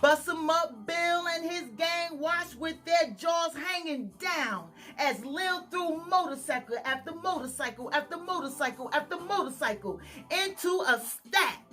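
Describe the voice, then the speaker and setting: A woman with a high, bright voice reads a children's story slowly and expressively. She often pauses to ask her young listeners questions. Faint music plays behind her. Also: animated. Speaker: storytime reader. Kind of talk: children's story read-aloud.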